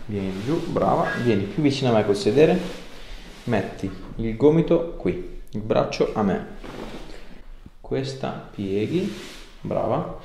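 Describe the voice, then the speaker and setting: A man's voice: medium pitch, animated, slow pace, vocal fry, long pauses, mellow. A man in his thirties, a chiropractor, talking a patient through a hands-on session in an informal, reassuring way. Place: small treatment room indoors. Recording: very echoey small room, faint noise.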